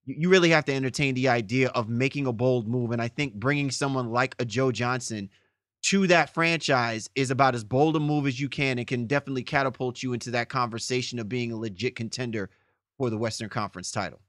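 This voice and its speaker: A man's voice: medium pitch, quick pace, a bit bright, dry recording, clean studio sound. A man co-hosting a sports podcast, giving emphatic, long-winded opinions in a discussion. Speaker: podcast co-host.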